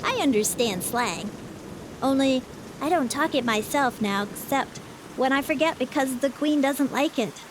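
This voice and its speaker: A woman's voice: high-pitched, expressive, unhurried, background noise, voice pushed up. A woman voicing a solemn, pale little girl in a storybook reading, speaking earnestly and precisely. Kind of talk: audiobook reading.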